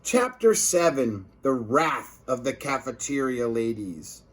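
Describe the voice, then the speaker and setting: A man's voice: mid-range voice, very animated, slow pace. A man reading a children's book aloud as a teacher, expressively emphasizing words for young listeners.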